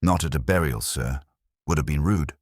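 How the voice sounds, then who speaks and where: A man's voice: rumbling low, normal speed, no echo, studio quality. A man voicing a stooped old gravedigger in a mystery story, speaking in a deferential murmur with rustic turns of phrase. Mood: affronted. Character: stooped old male gravedigger, deferential, hushed, quietly poetic. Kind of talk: dramatised audiobook-style mystery storytelling.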